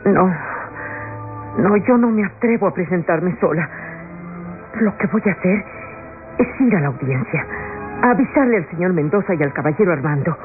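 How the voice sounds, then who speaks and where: Actress as frightened faithful maid, tinny radio sound. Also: female voice, fairly low pitch, expressive, moderate pace, long pauses, lightly acted. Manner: fearful, melodramatic. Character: frightened, faithful female household servant. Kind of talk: classic radio soap acting. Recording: tinny old radio recording, music behind.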